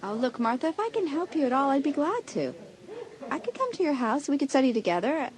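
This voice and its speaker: A woman's voice: sits high, very animated, fairly quick, poor audio. An actress playing a shy, eager, nervous teenage girl in an audio drama, sounding flustered and insistent.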